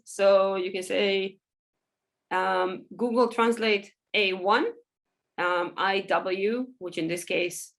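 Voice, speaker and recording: A woman, a Hebrew speaker, giving a loose, chatty software workshop full of ums and small laughs. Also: mid-range voice. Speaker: workshop presenter. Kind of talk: casual software tutorial over screen share.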